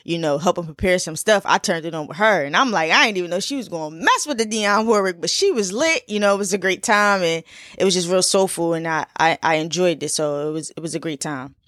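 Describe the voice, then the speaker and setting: A woman's voice: on the low side, expressive, fairly quick, fairly bright tone, no room sound, clean studio sound. A woman in her twenties from Philadelphia, hosting a casual solo podcast in a chatty, laid-back way with lots of fillers and repeats. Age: 20s. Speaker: podcast host.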